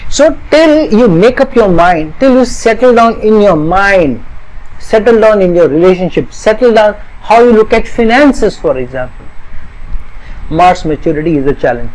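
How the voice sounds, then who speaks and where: A man's voice: high-pitched, expressive, moderate pace, darkish tone, poor audio. A middle-aged man from India, an astrologer, giving an earnest explanatory talk and stacking up long lists of parallel phrases.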